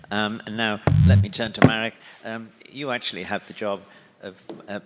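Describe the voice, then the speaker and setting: A man's voice: lowish voice, steady tone, moderate pace, loud music behind the voice. A man moderating a forum, politely introducing the next panelist with a few fillers. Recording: reverberant hall with faint noise.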